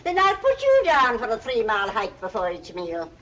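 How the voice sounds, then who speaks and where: An actress playing a hearty, bossy lady in a brisk, jolly, overbearing 1940s comedy manner. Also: a little high, wildly swooping pitch, a bit bright. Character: hearty, bossy, outdoorsy English lady passenger. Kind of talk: 1940s film comedy acting. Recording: faintly noisy 1940s film soundtrack.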